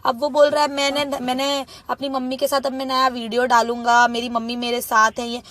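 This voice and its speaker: A woman's voice: high-pitched, level tone, a little fast, fairly bright tone. A young woman talking informally on a recorded phone call in an agitated, pleading and insistent way. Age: young adult.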